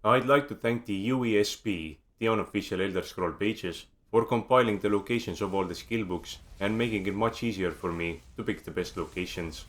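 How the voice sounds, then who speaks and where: A man with an Estonian accent narrating a scripted video game guide in a measured, dryly wry manner, recorded as a close voice-over. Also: on the low side, a bit bright.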